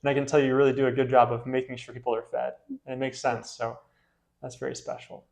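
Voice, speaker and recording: A man with a gravelly, creaky voice hosts a relaxed parish interview. He speaks in a friendly way at a middling pitch, pausing often with fillers as he gathers his questions. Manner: friendly, hesitant with many fillers. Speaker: parish priest hosting interview. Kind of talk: casual interview.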